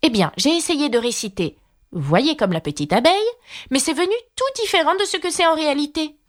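A woman's voice: high voice, expressive, fairly quick, voice pushed up. A woman playing a polite, earnest young storybook girl who explains herself at length and is easily puzzled. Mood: melancholy.

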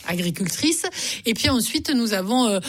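Woman, radio host, chatty, laughs often, fillers, higher voice. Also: expressive, normal speed. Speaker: local radio magazine host. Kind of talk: friendly radio interview.

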